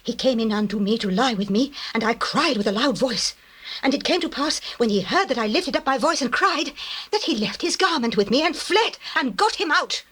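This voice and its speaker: An actress playing a seductive, scheming wife in a dramatized scripture recording, declaiming archaic lines theatrically. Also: female voice, a little high, animated, normal speed, fairly bright tone, slightly rough. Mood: accusing, indignant.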